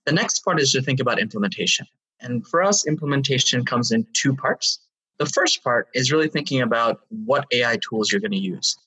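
A man in his thirties from Hong Kong, a guest explaining his consulting work in a measured way with some fillers. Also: mid-range voice, sharp tone, breathy edge, recorded from a distance, poor audio. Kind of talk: interview answers.

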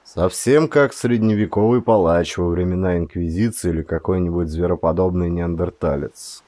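Male actor for dark fiction audiobook: low voice, expressive brooding delivery, very little room sound. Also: moderate pace, no room sound. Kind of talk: dramatic first-person fiction narration.

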